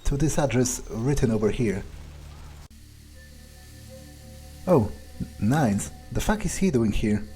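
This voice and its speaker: A man's voice: mid-range voice, expressive, moderate pace, dark tone, soft background music, close to an everyday voice. A man playing a crude, cocky, irritable male vampire in a game, muttering profane asides to himself. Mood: irritated. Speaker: game player in character. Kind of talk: improvised in-character game playthrough.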